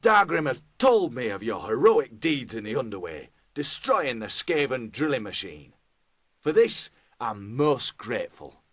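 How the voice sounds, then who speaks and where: A man's voice: medium pitch, sing-song, a little slow, a bit bright, no echo, studio quality. A man playing a proud, gracious dwarf lord in a video game, making stately, formal proclamations. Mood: proud, grateful. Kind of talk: video game cutscene acting.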